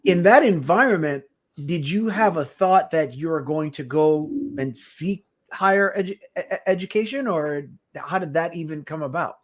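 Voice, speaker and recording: A man's voice: on the high side, lively intonation, normal speed. A man from the Caribbean co-hosting a podcast, asking long, rambling questions with restarts. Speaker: podcast co-host. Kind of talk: casual interview chat.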